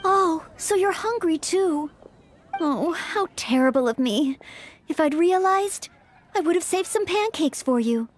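An actress playing an earnest, polite young maid knight in a fantasy game, courteous, attentive and formal. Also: female voice, very high-pitched, lively intonation, a little slow. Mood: apologetic concern. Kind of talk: scripted fantasy game voice acting.

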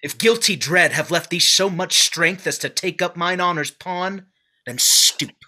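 A man voicing a fierce young nobleman making accusations in a Shakespeare reading over a video call, with forceful, measured delivery. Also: high-pitched, full character voice. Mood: accusing. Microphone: video call webcam.

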